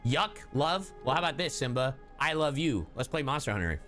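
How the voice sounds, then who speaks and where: A man's voice: medium pitch, lively intonation, a little fast, a bit bright, soft background music. A man livestreaming a game, bantering casually in a playful, irreverent, sweary way. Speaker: game streamer.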